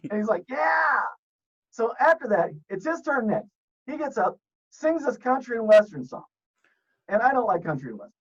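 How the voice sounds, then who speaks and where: Male podcast guest, casual storyteller, animated and jokey, voice leaps high for punchlines, dull lo-fi sound. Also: normal speed. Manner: animated, jokey, swears, squeaks up when excited.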